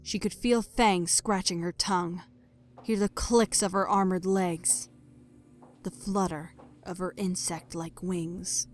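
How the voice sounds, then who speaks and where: A woman's voice: lowish voice, fairly even intonation, slow pace. A woman reading scripted story narration in a dramatic audio fiction style. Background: music.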